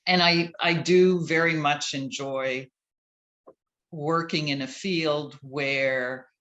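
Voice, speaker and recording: Man, from Canada, interview guest, bright tone, thoughtful, many fillers. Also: a little high, steady tone, slow, dull audio. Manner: thoughtful, many fillers and restarts, laughs lightly. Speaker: interview guest, chief IP counsel. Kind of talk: conversational interview answers.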